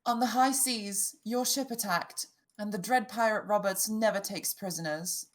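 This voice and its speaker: A woman's voice: mid-range voice, normal speed, close to an everyday voice. A woman playing a proud, grieving young noblewoman, haughty and bitterly defiant, in a fairy-tale table read. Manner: haughty, wounded, intense.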